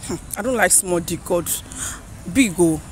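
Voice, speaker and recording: A woman's voice: lowish voice, expressive, measured pace, darkish tone, a little hiss or hum. A woman in her twenties with a Nigerian accent, chatting candidly as a guest in a street interview. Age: 20s. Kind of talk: candid casual chat. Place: outdoors on a park path.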